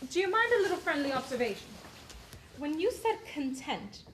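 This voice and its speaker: A genteel young actress speaks in a high, light register in a period drama. She stays polite and tactful, easing her corrections in gently. A faint ambience sits behind her. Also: big pitch swings, moderate pace, a little hiss or hum, low-quality recording.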